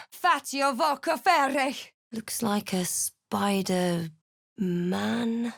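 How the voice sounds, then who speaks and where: An actress playing a wary, snarky female adventurer in a fantasy video game, with a dry, measured, theatrical delivery. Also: mid-range voice, dry recording, full character voice. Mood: forceful spell casting, then hushed. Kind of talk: video game voice lines, scripted barks and narration.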